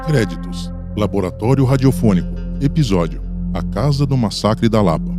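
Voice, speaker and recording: Man reading radio credits over clear music. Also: lowish voice, lively intonation, normal speed. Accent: Brazilian. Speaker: credits announcer. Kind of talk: scripted radio credits read. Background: music behind the voice.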